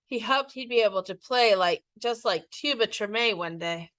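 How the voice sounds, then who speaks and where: A woman reading a children's picture book aloud in an expressive, teacherly storytelling way. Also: medium pitch, normal speed, no room sound.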